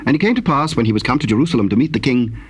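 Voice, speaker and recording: Guy narrating old bible text, formal, measured, medium pitch, bit of cassette noise. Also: expressive. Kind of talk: solemn scripted scripture narration. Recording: noisy old cassette tape.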